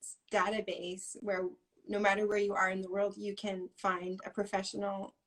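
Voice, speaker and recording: A woman's voice: medium pitch, flat delivery, normal speed, fairly bright tone, rough recording. A woman guest in a casual interview, talking in a rambling way with frequent laughs. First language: English. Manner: rambling, many fillers and restarts, laughs often.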